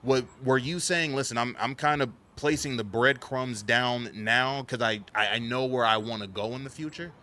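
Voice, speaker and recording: A man's voice: mid-range voice, moderate pace, few pauses, bright, forceful tone. A man hosting a conversational interview, asking long, wordy questions. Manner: wordy questions, frequent affirmations. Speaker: interviewer, podcast host.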